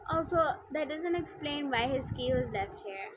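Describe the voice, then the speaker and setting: A woman's voice: high voice, expressive, normal speed, background noise. A woman livestreaming a game with casual reaction chat and playful, exasperated griping.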